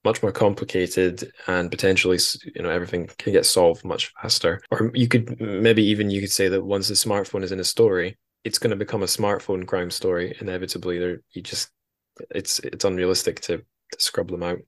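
A man's voice: sits low, flat delivery, brisk. A man hosting a podcast in a casual book discussion, chatty and wry, rambling as he goes. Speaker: podcast host.

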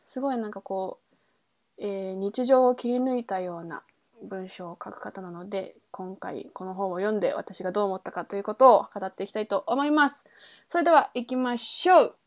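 A woman's voice: medium pitch, brisk. A woman hosting a casual book chat podcast, talking in a chatty way and thinking aloud as she goes. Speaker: podcast host; singer.

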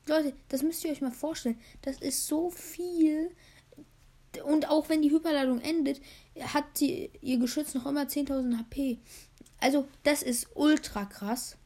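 Young female podcast host, hoarse, chatty, fairly high voice, rambles with fillers. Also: steady tone, unhurried, warm tone. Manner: rambling, many fillers, playing a game while talking. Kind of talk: casual gaming podcast chat.

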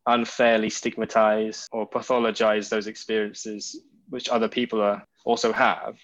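A man's voice: on the low side, little pitch movement, normal speed, muffled recording. A man hosting a podcast interview in a thoughtful, conversational way, choosing his words tentatively.

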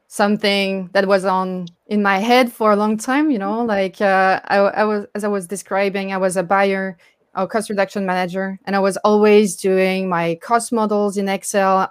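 A woman's voice: medium pitch, smooth, dry recording, clean studio sound. A woman from France with a French accent, answering interview questions as a startup founder on a video call, with many fillers and restarts. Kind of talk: online interview talk.

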